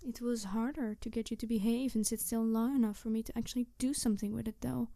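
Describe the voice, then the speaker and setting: A woman playing a snarky, bitter supervillain in an ASMR audio roleplay, taunting her captive in a theatrical, deliberate way with breathy whispered touches. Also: on the high side, fairly even intonation, dark tone, no room sound, clean studio sound. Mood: smug, gloating.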